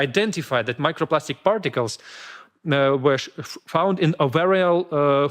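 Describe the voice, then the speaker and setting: Man giving a scientific conference talk. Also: a little high. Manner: reads carefully with small stumbles and restarts. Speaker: conference speaker. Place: conference hall.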